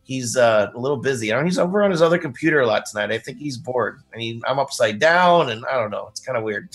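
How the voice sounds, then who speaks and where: A man's voice: medium pitch, lively intonation, quick pace. A man hosting a live indie music show, chatting in an informal, joking way. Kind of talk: casual live-stream chat.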